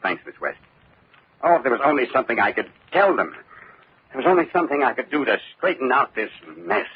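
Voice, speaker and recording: An actor playing a worried, glum middle-aged company boss in an old-time radio drama, speaking in an anxious, resigned way. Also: male voice, mid-range voice, sing-song, quick pace, background noise. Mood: despairing. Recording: tinny old radio recording, phone-like band.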